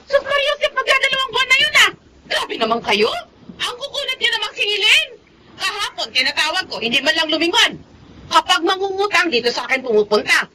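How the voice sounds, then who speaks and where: A woman's voice: lively intonation, fairly quick, pushed and bright, a little hiss or hum, full character voice, rough recording. An actress playing a hot-tempered Filipino woman storekeeper who nags and scolds in radio drama style. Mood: angry, scolding. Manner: nagging, shrill, exclamatory scolding. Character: scolding, hot-tempered female neighborhood storekeeper demanding debts.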